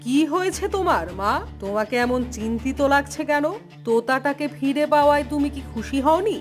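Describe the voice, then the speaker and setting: A woman's voice: high-pitched, animated, fairly quick, soft background music. A woman voicing an imperious, scheming queen in a cartoon, with a haughty, commanding manner. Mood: smug.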